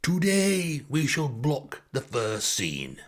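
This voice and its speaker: A man voicing a flamboyant pantomime director in a pompous, theatrical, drawn-out delivery, as in a comic story. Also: mid-range voice, huge pitch swings, pitched above the performer's own voice, heavily acted. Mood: commanding. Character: flamboyant, over-the-top male pantomime director.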